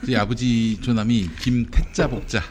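A man's voice: mid-range voice, steady tone, normal speed, darkish tone, slight background noise. A middle-aged man from Chuncheon, South Korea, chatting casually on a panel and telling stories in a teasing, joking way.

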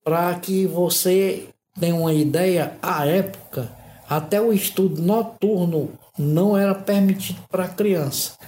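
A man, an interview guest telling his life story in a measured, thoughtful way. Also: high-pitched, warm tone, slight background noise. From Ceará, Northeast Brazil. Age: 60s. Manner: measured, thoughtful, with frequent "né?" tags. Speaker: interview guest, artist and modeler. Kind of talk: unhurried reflective storytelling. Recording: roomy echo.

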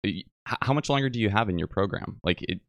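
A young man hosting a podcast interview, joking and halting, with frequent restarts. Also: fairly low pitch, animated, no echo, clean studio sound. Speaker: podcast host.

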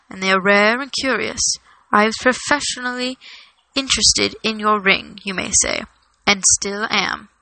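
A woman voicing a grave, stern old male wizard in a storybook, firm, patient and deliberate. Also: medium pitch, sharp tone, dry recording, low-quality recording. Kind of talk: storybook character reading.

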